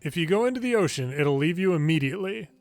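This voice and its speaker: A man's voice: fairly high pitch, lively intonation, quick pace. A man hosting a game video, reading the story dialogue aloud in an informal, amateur way with casual asides. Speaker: gameplay video host reading subtitles aloud.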